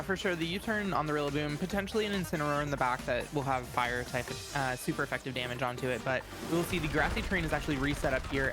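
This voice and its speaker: A man giving eager color commentary on a competitive match, explaining strategy with frequent fillers. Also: on the high side. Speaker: esports co-commentator. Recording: music playing under the voice. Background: background music.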